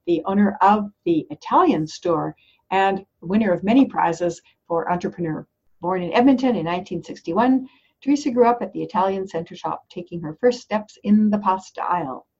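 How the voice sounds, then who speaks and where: A woman hosting a podcast, reading an introduction and then asking measured questions. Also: mid-range voice, darkish tone. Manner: measured, searching questions with fillers. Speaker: podcast host. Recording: dull lo-fi recording.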